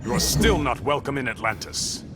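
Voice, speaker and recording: Male actor, stern warrior king, commanding, terse, animated pitch, game dialogue, prominent music. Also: moderate pace, close to an everyday voice. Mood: stern, defiant. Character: proud, stern Atlantean warrior king, adult man. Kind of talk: video game dramatic acting.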